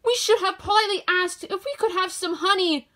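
A tiny creepy-crawly spider from a storybook, as a woman acts it out. It scolds in a high little voice. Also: fairly quick, bright, forceful tone. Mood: scolding. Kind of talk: children's storybook character voice.

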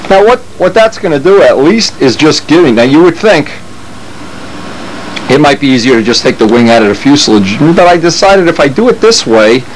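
A man giving a casual hands-on how-to, talking unscripted as he works, as a hobbyist showing a repair. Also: mid-range voice. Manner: unscripted, thinking aloud while working. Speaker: hobbyist demonstrating model plane repair. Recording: noisy workshop with steady machine hum.

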